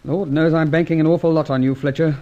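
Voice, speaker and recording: An actor playing a wary, harried British government official in a vintage radio drama, clipped and formal with an exasperated edge. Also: male voice, fairly high pitch, steady tone, fast, dark tone, dull audio, lightly acted. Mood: formal, dictating. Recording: noisy old radio recording with clicks.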